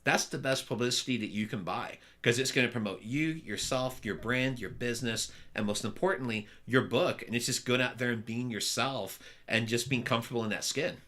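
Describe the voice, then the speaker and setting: A man hosting a podcast interview, talking in an energetic, upbeat way with a loud voice. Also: mid-range voice, fairly quick, few pauses. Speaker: podcast host. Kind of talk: casual interview chat.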